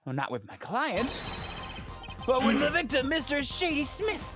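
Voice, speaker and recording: An eager young defense attorney, played by a woman, declaims dramatically with lively, swinging pitch. It is a courtroom game dub with music behind. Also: moderate pace. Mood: confident accusation. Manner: theatrical, declaiming. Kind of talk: fandub of a courtroom video game.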